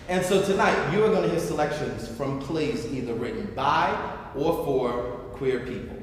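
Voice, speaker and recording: A man hosting a stage event, speaking to an audience with an enthusiastic, theatrical delivery. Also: mid-range voice. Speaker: host. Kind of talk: addressing a theater audience, then reading narration. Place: large reverberant indoor venue. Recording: echoey hall, distant, faint noise.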